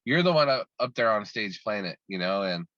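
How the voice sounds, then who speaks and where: Bright-voiced, talkative man on a dull, dry line. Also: fairly low pitch, animated, brisk, dry recording. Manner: talkative and rambling, many restarts. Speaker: guest musician. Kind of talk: casual interview chat.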